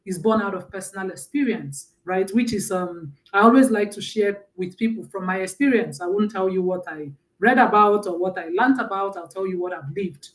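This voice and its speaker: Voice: medium pitch, normal speed, hardly any pauses, far from the mic, low-quality recording. A guest speaker from Nigeria with a Nigerian accent, telling personal stories in a conversational talk and often checking in with "right?".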